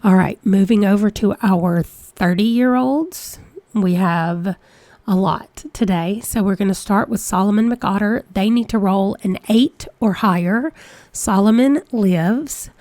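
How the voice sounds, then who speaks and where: Slow-talking woman with a stuffy cold. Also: mid-range voice, a little mellow, dry recording. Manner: chatty, reads out dice rolls. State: congested from a cold. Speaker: let's play host. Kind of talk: casual game commentary.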